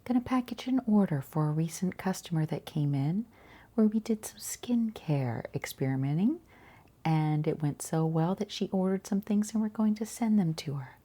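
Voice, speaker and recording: A woman talking quietly in an ASMR shop roleplay, gentle and chatty, with a soft, breathy voice that often drops toward a whisper. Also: lowish voice, lively intonation, normal speed. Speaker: ASMR roleplayer as boutique shopkeeper and channel host.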